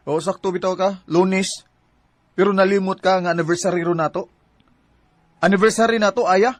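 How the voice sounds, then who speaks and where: A man's voice: sits high, steady tone, moderate pace, long pauses, slight background noise, natural voice. A man with a Cebuano Filipino accent playing a hurt, fed-up young boyfriend who complains plaintively in a radio drama. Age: young adult. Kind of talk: Bisaya radio drama acting.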